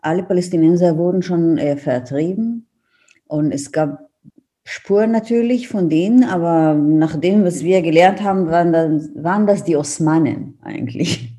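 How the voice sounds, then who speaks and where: A woman's voice: on the low side, moderate pace, mellow. A middle-aged woman from Israel with an Israeli accent, a native Hebrew speaker, giving a reflective, hesitant account as an interview guest. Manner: hesitant, searching for words.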